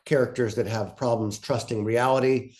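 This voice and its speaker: A man's voice: medium pitch, steady tone, moderate pace, darkish tone. A man giving a casual, unscripted workshop talk as a panelist, explaining things step by step in a conversational way. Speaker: panelist presenting on fiction podcast pitching.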